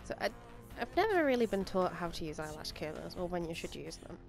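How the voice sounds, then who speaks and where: A woman's voice: lowish voice, big pitch swings, a little fast, creaky. A young woman with a slightly stuffy voice from a cold, rambling casually through a makeup tutorial with plenty of ums and likes. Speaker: makeup vlogger. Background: faint music.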